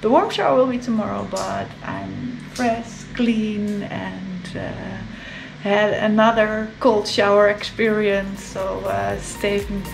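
A woman's voice: medium pitch, expressive, slow, vocal fry, rough recording. A woman in her thirties chatting upbeat to a handheld camera in a wooden holiday house. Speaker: vlogger. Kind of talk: casual vlog chat. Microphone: action camera held at arm's length. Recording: loud background music. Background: music playing behind.

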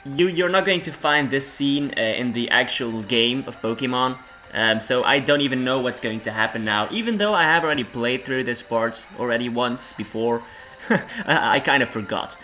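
A young man from Belgium talks through a game with a hoarse, cold-roughened voice. His tone is bright and a little tense, with faint music playing underneath. He rambles, apologizes and laughs at himself as he goes. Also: medium pitch, lively intonation, moderate pace. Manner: rambling, self-deprecating, laughs often. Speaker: let's play host. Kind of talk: casual game commentary.

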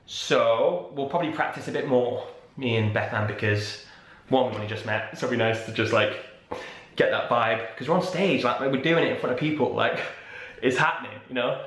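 A young man talking casually to a handheld camera, chatty and animated with lots of filler words. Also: medium pitch, normal speed, echoey room, rough recording. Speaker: vlogger and stage actor. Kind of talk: casual vlog chat. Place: hotel room and corridor indoors, garden outdoors. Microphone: camera held at arm's length.

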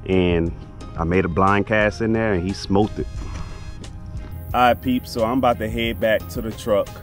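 Low-voiced man, laid-back fishing vlogger. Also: fairly even intonation, normal speed, faint music behind. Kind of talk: casual outdoor vlog commentary. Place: outdoors on a boat in a marsh.

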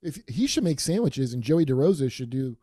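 A man hosting a comedy podcast, wry and quick with crude jokes, cueing clips, on a studio mic over a video call. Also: mid-range voice, big pitch swings, dark tone. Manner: wry, cuts in to cue clips. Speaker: podcast host.

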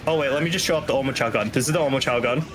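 A young man doing casual live commentary as a speedrunner, in a halting way that repeats and restarts phrases. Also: mid-range voice. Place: indoor room at home, webcam stream. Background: video game music and vehicle-like game sounds.

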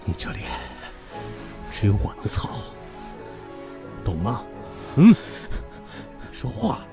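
A man's voice: lowish voice, huge pitch swings, slow, music in the background, heavily acted. An actor playing a possessive, taunting young man who talks crudely and commandingly in an audio drama. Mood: possessive, demanding. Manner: taunting, demanding. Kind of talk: Chinese audio drama acting.

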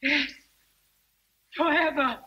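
A woman's voice: sits high, fairly even intonation, slow, bright, forceful tone, full character voice. An actress playing a sorrowful, principled young Englishwoman in a radio drama, restrained, grave and firm. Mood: sorrowful resolve.

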